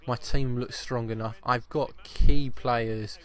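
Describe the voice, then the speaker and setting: A man, slightly croaky with a cough, giving chatty football video game commentary and often repeating his first words. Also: medium pitch, fairly even intonation, slow pace, a bit bright. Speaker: gaming channel host.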